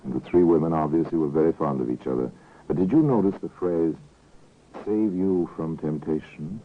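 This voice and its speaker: Narrator for a vintage radio mystery: an ominous, measured storyteller, bass and husky. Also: male voice. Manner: measured, insinuating, rhetorical. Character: knowing, ominous male storyteller of a mystery tale. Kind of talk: old-time radio drama narration. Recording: muffled narrow-band vintage radio recording.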